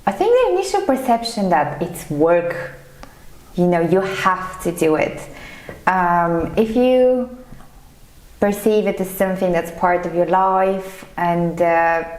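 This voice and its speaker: A woman from Bulgaria speaks as a guest in a conversational interview. Her voice sits a little low, and she talks in a thoughtful, hesitant way. The sound is noticeably echoey. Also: a little mellow. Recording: echoey, reverberant room sound.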